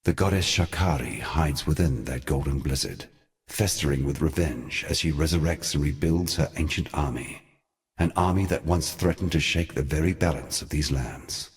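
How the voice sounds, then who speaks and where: An actor voicing an ancient, weary and scornful god, delivering grave, formal storytelling for a video game in a measured, theatrical way. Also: male voice, a bass voice. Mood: grave, brooding. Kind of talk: scripted dramatic video game narration.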